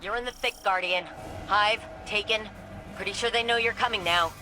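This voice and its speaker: Game role: casual, dry young woman scientist acted by a woman, informal delivery, loud score behind. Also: mid-range voice, moderate pace, lightly acted. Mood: brisk, informal. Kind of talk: video game mission dialogue. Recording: loud background music under the voice.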